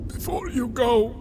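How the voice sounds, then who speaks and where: A man voicing a dying, weakened god of the hunt in a comic book audio drama, halting and breathy, on a noisy recording. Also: very high-pitched, voice pushed up, heavily acted. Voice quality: breathy, halting, gasping and coughing. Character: dying Siberian hunting god, a broken, weakened male.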